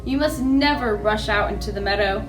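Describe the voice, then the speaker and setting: A woman's voice: medium pitch, normal speed, reverberant, music in the background, close to an everyday voice, poor audio. A woman voicing a protective mother deer in a storybook, earnest and cautioning.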